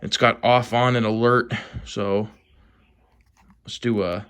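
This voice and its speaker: An American man giving a casual show-and-tell as a hobbyist vlogger, with a hesitant, trailing, low-key delivery. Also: fairly even intonation, slow pace, a bit bright, smooth. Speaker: hobbyist vlogger showing a collection.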